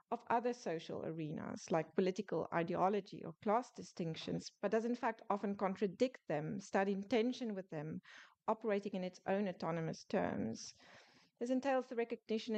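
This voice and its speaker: A woman author presenting her book in a prepared academic lecture, reading in a measured way with small restarts. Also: medium pitch, a little mellow. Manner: measured, reads closely from a script with small restarts.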